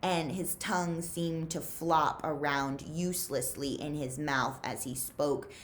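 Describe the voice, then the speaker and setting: A woman reading a story aloud to young listeners in an expressive, deliberate, suspenseful storytelling manner. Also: deep voice, slight background noise. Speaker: librarian reading aloud to children. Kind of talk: expressive read-aloud storytelling, pausing to ask listeners questions.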